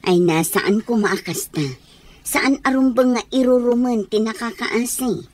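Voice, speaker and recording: A woman's voice: a little high, a little slow, a little mellow. An actress playing a concerned, sympathetic female teacher in an Ilocano radio drama, speaking in a lamenting way. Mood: worried, sympathetic.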